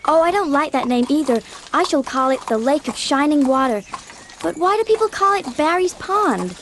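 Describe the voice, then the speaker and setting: A dreamy young orphan girl chatters away in a high voice. She is eager and gushing, full of exclamations, as heard in a dramatised storybook. There is faint noise behind her. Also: animated, normal speed, a bit bright. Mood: enraptured. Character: eager, talkative, dreamy eleven-year-old orphan girl. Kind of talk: audiobook dramatisation acting.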